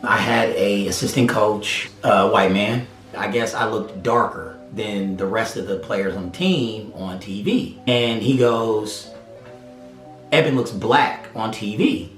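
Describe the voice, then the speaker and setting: A man in his thirties recounting a personal experience conversationally, acting out what others said. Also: on the low side. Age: 30s. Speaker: interviewee. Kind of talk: personal testimony. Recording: roomy echo with faint music.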